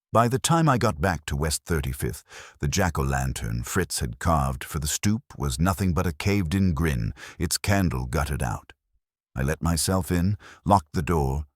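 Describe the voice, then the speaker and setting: Very deep-voiced man narrating detective fiction. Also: no echo, studio quality. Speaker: audiobook narrator. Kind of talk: scripted first-person detective narration.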